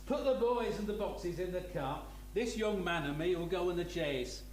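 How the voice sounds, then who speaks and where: Man playing a gruff blustering schoolmaster, highish voice, roomy. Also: lively intonation, moderate pace, a little mellow. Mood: blunt, smug. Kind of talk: dramatic reading of a Victorian novel. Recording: roomy live hall, hum and noise.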